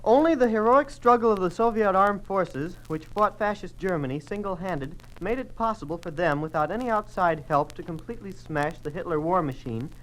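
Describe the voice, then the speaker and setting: Earnest, puzzled schoolboy son. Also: male voice, high-pitched, expressive, moderate pace, few pauses, a bit bright. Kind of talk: old-time radio drama acting. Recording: noisy old radio transcription.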